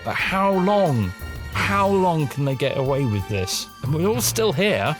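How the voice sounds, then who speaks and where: A man's voice: a little high, sing-song, a little slow. A man speaking wryly in declarative statements in a documentary interview. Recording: music playing behind the voice.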